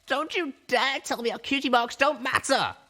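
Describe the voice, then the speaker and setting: A shrill young female pony acted out by a man, frantic and furious. The voice is pitched extremely high and strained with desperation, as a wounded storybook heroine making demands. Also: normal speed, bright, forceful tone, heavily acted.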